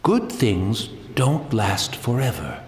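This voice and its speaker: An actor voicing a serene, humble old blind tea master in a video game, speaking in a courtly, reflective way. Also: male voice, on the low side, sing-song, slow. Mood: wistful, content. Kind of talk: game voice acting. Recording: roomy echo with faint background noise.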